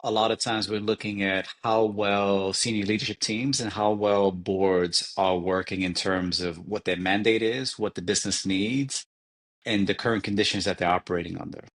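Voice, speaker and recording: Interview guest: man, 40s, from New York, low voice, measured and reflective delivery. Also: steady tone, fairly bright tone. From New York City. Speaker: guest, leadership advisor. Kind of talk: interview conversation.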